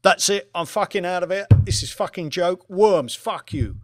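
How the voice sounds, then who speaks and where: A man's voice: high voice, normal speed, no echo, clean studio sound, pitched above the performer's own voice, natural voice. A man voicing a brash, mocking forex trader with a blunt manner in an audiobook. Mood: scornful. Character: brash, scornful male forex trader, crude heckler.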